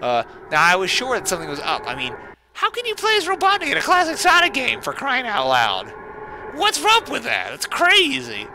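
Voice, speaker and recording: A man's voice: extremely high pitch, huge pitch swings, normal speed, gravelly. Sarcastic male game streamer, noisy recording. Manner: sarcastic, self-deprecating asides. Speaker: host of a gaming stream. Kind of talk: casual gameplay commentary mixed with mock dramatic story reading.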